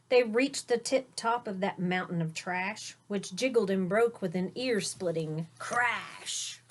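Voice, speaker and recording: A woman reading a children's picture book aloud like a teacher, expressively, with simple explanations for young listeners. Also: medium pitch. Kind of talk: storybook read-aloud with explanatory asides.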